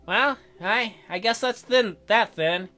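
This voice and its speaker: A woman's voice: mid-range voice, slow pace, bright, forceful tone. A woman hosting a let's play, reading a game's narration aloud with casual commentary. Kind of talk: animated game read-aloud with casual commentary. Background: music.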